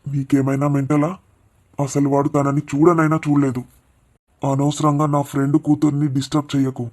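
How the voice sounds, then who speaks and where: A man's voice: fairly high pitch, flat delivery, normal speed, darkish tone, natural voice. A man playing an upright father who firmly and indignantly rebukes his scheming wife. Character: upright father, protective of his friend's daughter. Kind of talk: melodramatic audio drama.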